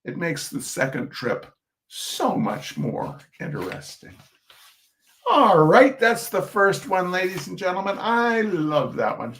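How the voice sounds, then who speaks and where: A man reading comic essays aloud to listeners in a theatrical, expressive way, breaking off for chatty, wry asides. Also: high-pitched, slow pace. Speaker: reader of humorous essays.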